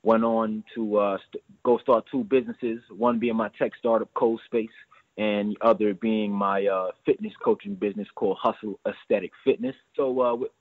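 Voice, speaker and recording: A man's voice: lowish voice, fairly even intonation, moderate pace, no echo. A young man in his twenties from New York, a guest talking earnestly on a phone interview, often repeating his words as he goes. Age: 20s. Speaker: guest entrepreneur. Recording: dry tinny phone line.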